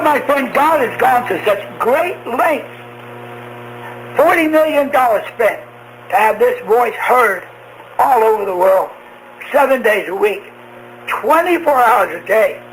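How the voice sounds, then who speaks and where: A man preaching a radio sermon in slow, halting phrases, posing rhetorical questions. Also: extremely high pitch, a bit bright. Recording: noisy humming shortwave radio broadcast.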